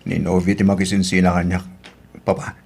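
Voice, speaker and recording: Man playing a low-voiced hurt husband. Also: flat delivery, fairly quick, creaky, a little mellow, husky, exaggerated voice. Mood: resigned. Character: hurt, resentful husband and father. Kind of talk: Ilocano radio drama acting.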